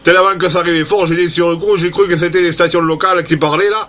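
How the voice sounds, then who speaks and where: A man's voice: high voice, steady tone, quick pace, fairly bright tone. A man chatting casually as an amateur radio operator, amazed and repeating himself. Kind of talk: casual CB-style radio contact. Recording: dull lo-fi radio contact recording.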